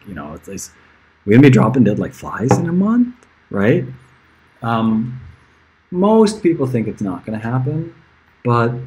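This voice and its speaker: A middle-aged man talks casually to viewers on a livestream. His voice sits at an average pitch with a slightly husky grain. He is informal and easily sidetracked, wandering between half-finished thoughts. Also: a little mellow, low-quality recording. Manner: rambling, informal, easily sidetracked, chuckling now and then. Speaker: musician livestreaming to friends and fans.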